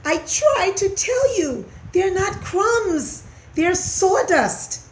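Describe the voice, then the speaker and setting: A woman voicing a guilty, tearful young boy mouse in a storybook reading, with a squeaky, earnest delivery. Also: very animated, drawn-out words, heavily acted. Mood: anxious, confessing. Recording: roomy echo, faint background noise.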